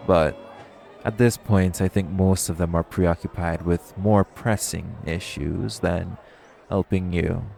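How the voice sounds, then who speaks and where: A man's voice: deep voice, steady tone, slow, gravelly, dark tone, soft background music, exaggerated voice. A man playing a teasing, flirtatious and menacing male vampire in an intimate audio roleplay, smug and sardonic. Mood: curious, mocking.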